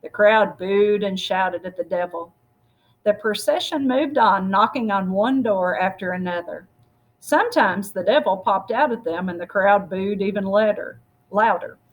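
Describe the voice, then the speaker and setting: A woman with a husky voice reading a storybook aloud expressively, as if to children. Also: medium pitch, unhurried, low-quality recording. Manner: expressive, acts out dialogue. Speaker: story reader.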